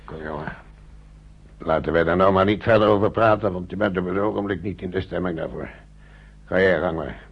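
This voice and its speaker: An actor playing a worried, hesitant older gentleman facing heavy wartime decisions in a Dutch radio play. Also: sits low, steady tone, a little fast, breathy edge. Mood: grave, subdued. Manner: hesitant, repeating words. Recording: dull old radio play recording.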